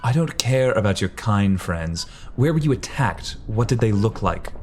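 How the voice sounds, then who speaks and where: A man acting as a cool, world-weary vampire in an audio drama, speaking fairly low. He is dry and condescending, with old-fashioned slang and faint noise behind him. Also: animated, normal speed, darkish tone, close to an everyday voice. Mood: wry, menacing. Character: cool, sardonic male vampire in a suit with revolver.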